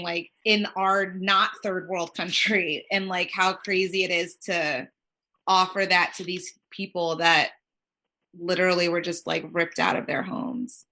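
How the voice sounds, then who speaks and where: A woman working as a child life specialist, chatting casually and rambling with lots of "like" fillers. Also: on the low side. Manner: rambling, heavy use of "like", quoting others in lively voices. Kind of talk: casual conversation on a podcast.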